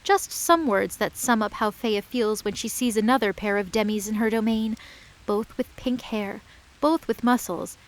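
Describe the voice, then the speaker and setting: A woman narrating an audio drama in a measured storytelling voice. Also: medium pitch, low-quality recording. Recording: narration over loud music.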